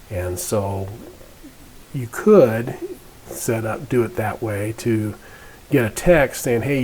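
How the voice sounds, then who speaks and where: A man narrating an informal software tutorial, explaining step by step in an unscripted, halting way. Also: fairly low pitch, slight background noise, low-quality recording. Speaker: instructor, company representative presenting a tutorial.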